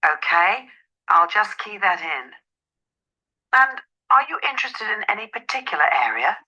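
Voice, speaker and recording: A woman's voice: mid-range voice, sing-song, normal speed, pushed and bright, exaggerated voice, rough recording. A woman playing a polite, helpful estate agent in an acted phone dialogue, businesslike and courteous.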